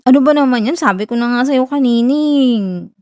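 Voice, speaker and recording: A young Filipino woman acting a whiny, shy tsundere college girl confessing love in a soft, hesitant ASMR roleplay. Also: high voice, normal speed, no echo, studio quality, lightly acted. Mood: flustered, whiny.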